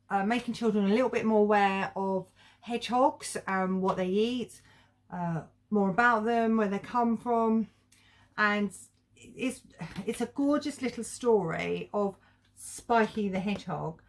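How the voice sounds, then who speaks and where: A middle-aged woman giving a casual, chatty review to viewers in an informal, rambling, conversational way. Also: medium pitch. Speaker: children's book reviewer and vlogger.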